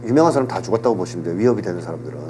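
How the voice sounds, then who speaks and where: A man getting over a cold, explaining politics and history in a measured, lecturing way on a talk show. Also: low-pitched, expressive, over a noisy crowd. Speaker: political commentator. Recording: audible hum and background noise.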